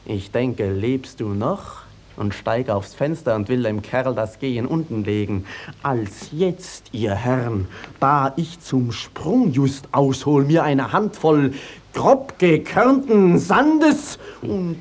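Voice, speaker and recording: A man's voice: mid-range voice, animated, slow pace. An actor playing a hot-headed, plain-spoken young peasant who tells his story emphatically in a classical radio play. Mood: indignant recounting. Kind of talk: 1950s German classical radio play acting.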